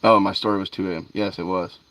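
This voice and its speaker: A man with a fairly low voice hosts a fishing livestream late at night. He talks in a relaxed, rambling way, reads out viewers' names and says "dude" often. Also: quick pace, no echo. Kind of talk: casual livestream chat with viewers. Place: outdoors by a canal at night.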